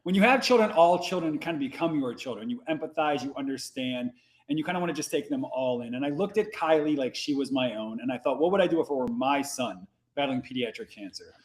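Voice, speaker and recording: A man in his forties, a podcast guest telling the story of his nonprofit, energetic and playful. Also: mid-range voice. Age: 40s. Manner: energetic, playful, quick-talking. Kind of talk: casual podcast conversation.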